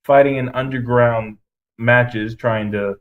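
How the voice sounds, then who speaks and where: A man's voice: medium pitch, fairly even intonation, takes it slowly, phone-quality sound. A man co-hosting a podcast, giving blunt, emphatic opinions in casual chat with some swearing. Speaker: podcast co-host. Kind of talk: casual ranting movie review chat.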